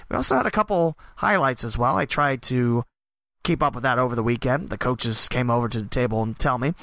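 A hoarse-voiced man with a bright, pressed tone runs through a long list of results in a steady, listing rhythm. His voice sounds strained and worn. The recording is dry and close, with no room sound. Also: medium pitch, no echo. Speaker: podcast host and wrestling announcer. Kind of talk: reading results, then casual reflection.

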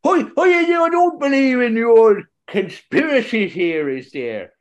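A man's voice: very high voice, very animated, measured pace, pitched above the performer's own voice, heavily acted. A man voicing an opinionated Scottish man in the street, rambling with emphatic tag phrases in a put-on Scottish accent, for a comedy podcast. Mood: emphatic, daft.

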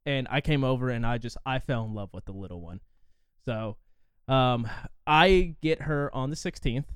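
A man's voice: medium pitch, lively intonation, normal speed, clean tone, dry recording. A man in his twenties from Indiana, rambling through a casual chat with lots of fillers and swearing. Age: 20s. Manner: rambling, profane, frequent fillers.

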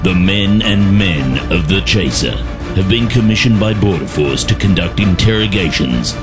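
A man's voice: low voice, expressive, normal speed. A man reading a mock-serious, ominous promo as the show announcer. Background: music.

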